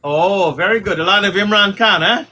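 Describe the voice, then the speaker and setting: Middle-aged guyanese guy on a livestream, bright voice, pitched a bit high, lots of ums. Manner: halting, many fillers, friendly. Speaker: community leader hosting a livestream. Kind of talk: casual livestream chat.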